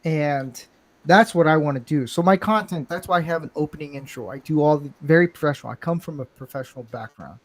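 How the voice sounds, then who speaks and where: Male podcast host, blunt and rambling, self-mocking, voice sits somewhat high. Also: brisk. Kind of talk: casual live-stream chat.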